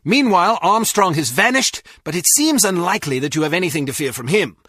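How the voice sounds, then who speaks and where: An actor playing a stern, formal intelligence chief who gives measured, authoritative mission briefings in a video game. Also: male voice, high-pitched, animated, bright, forceful tone, husky, dry recording, clean studio sound, exaggerated voice. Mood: satisfied, measured.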